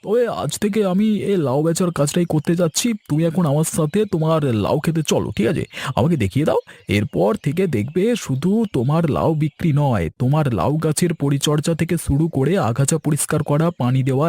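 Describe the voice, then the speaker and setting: A man's voice: a little high, animated, fairly quick, dark tone, slightly rough. A man voicing an eager, talkative younger village man in a cartoon, with a pleading manner. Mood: earnest. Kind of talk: cartoon dialogue acting.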